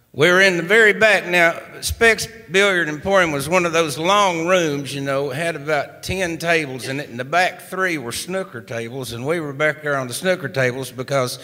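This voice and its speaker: A man with an East Tennessee accent tells a comic yarn in a relaxed, folksy drawl. His voice sits a little high and rings bright and forward. The live radio stage adds a long, lingering reverb. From East Tennessee, USA. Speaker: singer-songwriter and storyteller. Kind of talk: live humorous storytelling.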